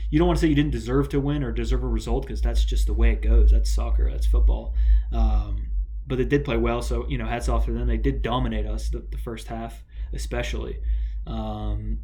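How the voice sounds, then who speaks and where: A man's voice: medium pitch, darkish tone. Young American guy for a solo soccer reaction vlog, loose and rambling, stops and restarts a lot, mid-range voice. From United States. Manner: rambling, many fillers and restarts. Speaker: soccer vlogger.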